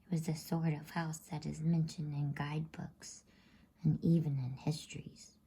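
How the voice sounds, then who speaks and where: A woman reads a bedtime story in a soft ASMR whisper. Her voice is very breathy and low, with a mellow tone. She takes her time, soothing and hushed. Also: level tone. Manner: soothing, hushed, drawn-out delivery.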